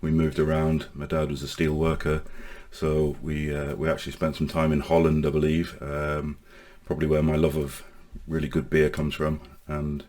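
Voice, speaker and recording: A man in his forties from Northern Ireland with a mostly English accent, telling his life story to camera in a halting way, with many pauses and restarts. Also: extremely low pitch, monotone, darkish tone. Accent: English with Northern Irish traces. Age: 40s. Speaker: online safety vlogger.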